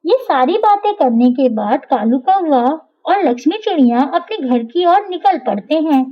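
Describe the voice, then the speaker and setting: A woman's voice: high-pitched, animated, normal speed. A woman narrating a scripted children's cartoon story. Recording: dull, lo-fi sound.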